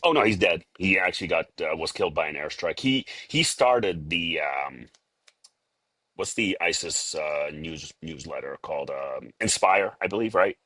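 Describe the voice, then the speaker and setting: Very deep-voiced man with a Boston accent. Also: animated, pushed and bright, no echo. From Liberia. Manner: halting, many fillers and restarts. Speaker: interview guest. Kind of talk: casual interview talk.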